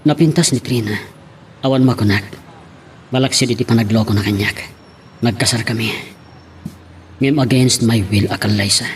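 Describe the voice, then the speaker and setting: A man's voice: on the low side, normal speed, long pauses, slight background noise, close to an everyday voice. A man acting a troubled, resentful young husband with an Ilocano Filipino accent in an audio drama.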